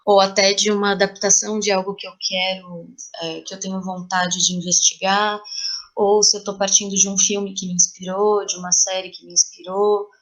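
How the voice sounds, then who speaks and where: A woman's voice: mid-range voice, flat delivery, moderate pace, low-quality recording. A woman with a Brazilian Portuguese accent, answering in a reflective interview as a playwright, thoughtful and explanatory, searching for words.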